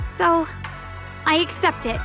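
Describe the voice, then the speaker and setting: Kind young game heroine: sky-high bright voice, earnest, humble, slightly hesitant. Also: female voice, slow, soft background music, full character voice, low-quality recording. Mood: sincere, resolved. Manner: sincere, hesitant, eager. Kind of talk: anime-style game dialogue.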